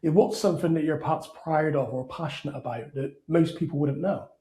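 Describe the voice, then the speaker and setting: Mellow man co-hosting, echoey room. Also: fairly high pitch, fairly quick. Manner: friendly, asks open questions. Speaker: podcast co-host. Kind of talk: conversational interviewing.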